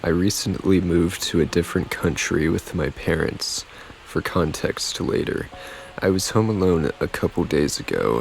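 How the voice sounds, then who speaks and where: A man narrates a scary story in a very low, gravelly voice. His delivery is even and measured. A faint hiss sits under the recording. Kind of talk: reading a scary story aloud.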